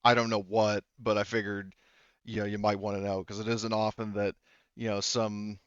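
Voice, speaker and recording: A man speaking as game master, improvising narration casually, haltingly, often circling back to rephrase. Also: lowish voice, level tone, clear, resonant tone, no room sound, muffled recording.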